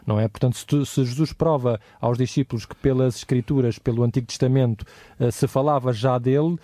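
A man's voice: mid-range voice, steady tone, brisk, dark tone, no room sound. A man co-presenting a Bible study in a conversational way, explaining and putting questions.

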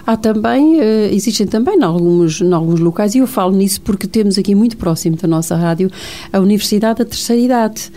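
Female radio host, mellow warm tone, hesitant with fillers. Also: mid-range voice, expressive, a little fast. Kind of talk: radio talk show conversation. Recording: radio studio.

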